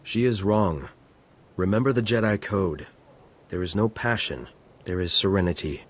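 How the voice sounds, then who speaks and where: An actor playing a principled, disapproving apprentice monk with grave, formal speech in a video game. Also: male voice, deep voice, moderate pace, slightly rough.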